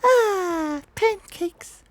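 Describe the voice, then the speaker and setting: A man voicing a small, cheery, cat-like male creature in a squealing, very high voice. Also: expressive, very slow, no echo, heavily acted. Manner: squealing, cries out about pancakes.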